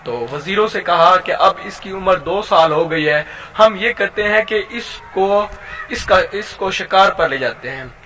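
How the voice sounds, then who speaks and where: A man with a Pakistani accent and a high-pitched, bright, forceful voice tells a simple moral tale. He narrates informally, in a halting way, often repeating a phrase before he carries on. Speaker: YouTube storyteller and channel host.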